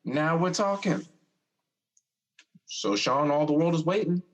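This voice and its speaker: A man's voice: fairly high pitch, unhurried, lightly acted. Man playing a teasing, insistent older brother. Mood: eager, pressing. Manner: insistent, teasing, drawling, stammers when reflective. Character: teasing older brother, a restless young man who has died. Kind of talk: stage-style audio drama dialogue.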